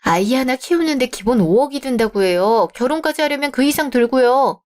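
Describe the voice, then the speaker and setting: A woman's voice: high-pitched, expressive, fairly quick, a little mellow. A woman voicing a composed young bride-to-be in a radio drama, polite but firm as she argues her point.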